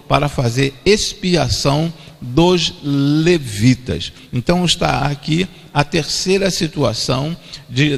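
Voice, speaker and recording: Gravelly Brazilian pastor in echoing church. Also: male voice, fairly high pitch. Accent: Brazilian Portuguese. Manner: repeats each point twice for emphasis. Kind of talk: expository sermon. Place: church sanctuary. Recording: long church-hall reverb.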